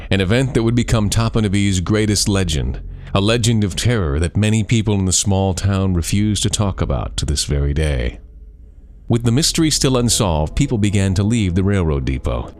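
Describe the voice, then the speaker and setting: A man reading a scary story as a storyteller, with suspenseful, deliberate dramatic phrasing. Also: sits low, darkish tone.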